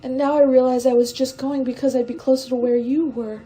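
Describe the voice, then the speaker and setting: Woman acting high-voiced, tender young stowaway woman. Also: flat delivery, fairly quick, warm tone, natural voice. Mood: resigned, tender. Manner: wistful, hesitant, emotionally earnest delivery. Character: tender, brave young woman stowaway facing her death. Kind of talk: radio drama acting.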